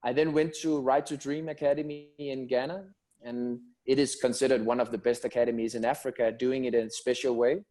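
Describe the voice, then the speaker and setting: A man shares his personal journey in an online interview. He speaks earnestly and reflectively, in a mid-pitched voice. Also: level tone, brisk, warm tone. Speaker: football academy founder and guest. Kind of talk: personal story told in a webinar interview.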